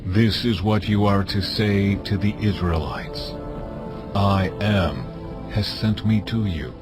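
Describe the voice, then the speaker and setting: An actor playing God as a solemn, deliberate, authoritative divine voice in a dramatized scripture reading. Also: male voice, on the low side, over music. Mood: solemn, commanding.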